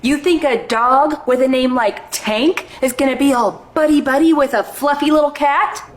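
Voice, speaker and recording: A woman's voice: high voice, normal speed, a little hiss or hum, voice pushed up, close to an everyday voice. A woman voicing a cat owner who lectures her pet with sarcastic, overdramatic scolding.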